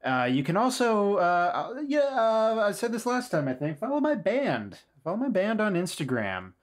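A man's voice: high voice, big pitch swings, moderate pace. A man who plays on a game podcast, chatting casually and plugging his socials. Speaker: podcast player.

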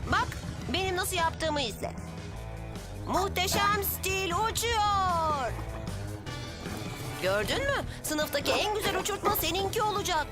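A voice actor playing an eager, confident young boy motorbike, a helpful big brother in a children's cartoon dub, excitable and boastful. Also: very high voice, unhurried, long pauses. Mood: proud. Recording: loud music behind.

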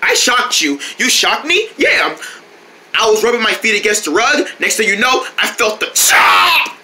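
Man doing a high, sharp, excitable little boy. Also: unhurried, a little hiss or hum, heavily acted. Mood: boastful. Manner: childish, singsong, exclaiming. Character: excitable mischievous little boy with new shocking powers. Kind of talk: homemade character skit with voices.

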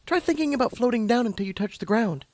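A man voicing a timid, sweet young female pegasus pony in a soft falsetto, hesitant and gently encouraging, for a story reading. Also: expressive, fast talker. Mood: gentle, reassuring.

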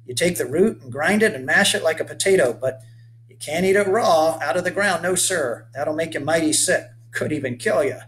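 Weathered, hearty old boatman, put on by a male storyteller; folksy, old-fashioned speech, voice pitched up. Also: normal speed, a bit bright, a little hiss or hum, lightly acted, rough recording. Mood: hearty, chatty. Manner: folksy old-fashioned speech, calls the boy lad and son. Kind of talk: voiced character in a read-aloud story.

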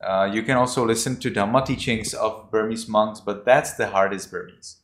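A man with a low voice explains things to a class over a video call. He rambles and repeats himself, and his laptop mic picks up an echoey room. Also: moderate pace. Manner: rambling, repeats himself, uses "you know". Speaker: teacher. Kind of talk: casual online class talk.

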